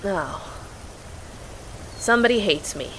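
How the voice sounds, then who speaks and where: A woman's voice: mid-range voice, animated, slow, a bit bright, slight background noise. A woman playing a spirited, impatient young girl in an audio drama, with an exasperated, bossy way of talking. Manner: exasperated, commanding. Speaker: voice actor.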